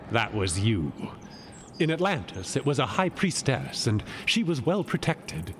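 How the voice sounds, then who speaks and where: A man's voice: medium pitch, very animated, measured pace. A man playing a chatty, knowing god who explains things emphatically in an audio drama. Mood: explaining. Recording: noisy outdoor ambience behind.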